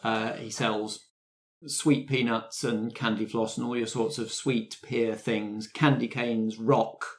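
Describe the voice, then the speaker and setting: A man's voice: on the low side, level tone, slow pace, a little hiss or hum. A man acting as game master, setting scenes for an improvised tabletop roleplay.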